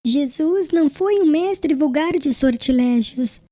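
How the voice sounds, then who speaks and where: A woman playing a gentle, devout young fiancée on her deathbed in an audiobook drama, tender and earnest with formal diction. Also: high-pitched, expressive, a little slow. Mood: serene, devout.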